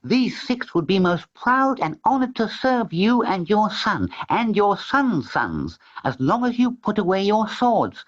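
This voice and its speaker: A man's voice: sits high, very animated, unhurried, hardly any pauses, pitched above the performer's own voice. A man doing a polite, clever storybook cat. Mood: courteous. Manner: courteous, gentle. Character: wise, polite male pet cat. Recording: slightly dull with faint music.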